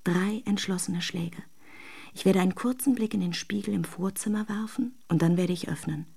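A woman's voice: mid-range voice, moderate pace, creaky, warm tone, husky. A woman acting an intimate radio drama monologue as a dreamy, self-deluding wife who longs for her lover, confiding in careful words. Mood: secretly excited.

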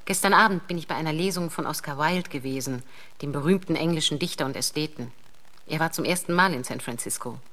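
An actress playing a caring, wistful mother reading out her letter to an estranged husband in an audio drama, gentle and intimate. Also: deep voice, quick pace. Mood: fond, chatty.

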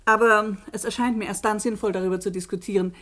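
A woman's voice: medium pitch, fairly even intonation, fast, a bit bright, natural voice. An actress playing an earnest middle-aged woman academic in a German radio drama, lecturing in an articulate, formal, cautionary way. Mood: firm, controlled. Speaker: actor in a radio play.

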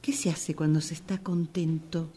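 A woman's voice: sits low, flat delivery, normal speed, dark tone, husky. A Rioplatense woman reading a restless tramp who muses aloud in an amateur radio play. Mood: musing. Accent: Rioplatense Spanish.

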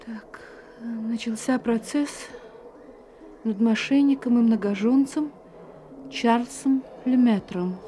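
Brief: guilt-ridden radio-play wife, higher, mellow, whispery. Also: female voice, slow pace, long pauses, a little hiss or hum. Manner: agitated, often whispering to herself. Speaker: heroine and narrator of a radio play.

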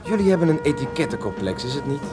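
A man's voice: fairly high pitch, sing-song, fast talker. A man playing a cocky beatnik poet in his twenties in a radio drama, with a hip, provocative manner. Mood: provocative, cool. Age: 20s. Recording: radio play with loud music behind.

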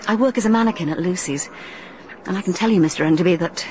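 An actress playing a bold, shrewd young woman in a radio drama, talking briskly and persuasively with plenty of self-assurance. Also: fairly low pitch, mellow, natural voice. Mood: candid. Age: young adult. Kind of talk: 1980s radio drama acting. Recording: radio play with faint hiss.

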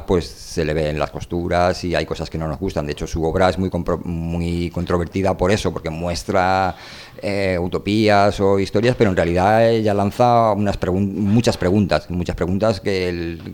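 A man with a low voice hosts a relaxed cultural talk show. He talks casually, feeling his way through sentences, repeating and rewording as he goes. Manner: halting, rephrases and repeats himself. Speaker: host. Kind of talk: casual book and music discussion.

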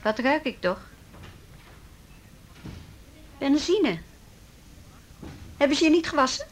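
An actress playing a brisk, dutiful juvenile police officer in a naturalistic, matter-of-fact radio play style. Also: female voice, high-pitched, very animated. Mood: probing. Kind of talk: Dutch radio play acting, naturalistic dialogue. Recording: faint background noise.

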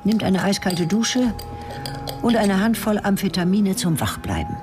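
A woman's voice: mid-range voice, lively intonation, measured pace. Terse woman narrating a radio drama. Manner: terse, clipped, matter-of-fact. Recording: studio radio play with music.

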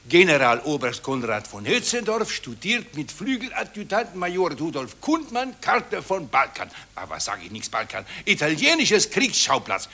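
An actor playing an obsequious, pushy court photographer in a satirical radio play, with a fawning, insistent manner. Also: male voice, fairly high pitch, pushed and bright, slightly rough, full character voice. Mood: eager. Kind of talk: satirical radio drama acting.

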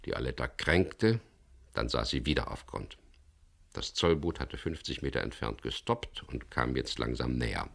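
An actor playing a wry, weary boat skipper who narrates his adventure in a dry, measured way in a German crime radio play. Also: very deep voice, animated, creaky, a bit bright, full character voice. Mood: tense narration. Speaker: first-person narrator and lead character.

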